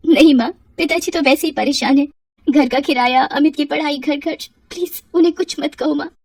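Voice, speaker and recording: A woman voicing a dutiful, gentle young widowed mother who speaks politely in a cartoon story. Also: high-pitched, normal speed, pitched above the performer's own voice. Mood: grieving. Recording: roomy echo, distant from mic.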